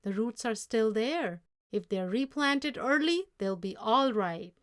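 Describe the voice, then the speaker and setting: Storybook boss read by a woman: exclaiming, blunt-tempered man with a fair streak, slow measured pace. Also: fairly high pitch, lively intonation. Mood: calmer, fair. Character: upset boss, a man, shocked, angry, then fair. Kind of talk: storybook character dialogue.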